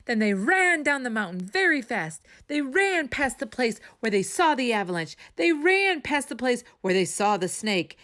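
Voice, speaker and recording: A woman reading a children's story aloud as a storytime host, with expressive, dramatic emphasis. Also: a little high, moderate pace, hardly any pauses. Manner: dramatic emphasis, asks listeners questions.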